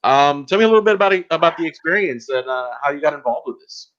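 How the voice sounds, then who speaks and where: A man hosting a casual podcast in an informal, halting, promotional way. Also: fairly high pitch, expressive, a bit bright, muffled recording. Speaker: podcast host.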